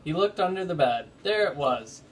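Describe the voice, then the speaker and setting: A man's voice: a little high, expressive, normal speed. A man who talks casually and reads a children's story aloud like a teacher. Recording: noticeable background noise.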